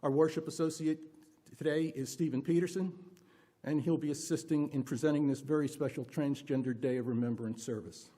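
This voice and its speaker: A person leading a worship service from a pulpit, reading aloud with deliberate, emphatic expression. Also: medium pitch, steady tone, mellow. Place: church pulpit.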